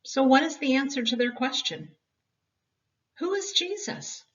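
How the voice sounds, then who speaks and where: A woman's voice: high-pitched, sing-song, unhurried. A woman reading a children's Bible story expressively, with dramatic, emphatic phrasing meant for young listeners. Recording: dull, distant home-style recording.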